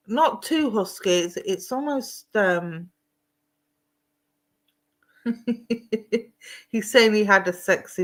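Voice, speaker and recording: A woman giving a live-streamed psychic reading. She is gentle and reassuring, calls people darling and sweetheart, and rambles with ums and restarts. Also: mid-range voice. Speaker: psychic medium. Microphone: streaming setup at home.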